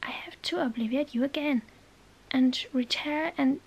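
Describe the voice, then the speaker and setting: A woman playing a bookish, exasperated young witch in an ASMR roleplay, halting and bossy, often whispering. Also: high voice. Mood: anxious, coaxing. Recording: faint background noise.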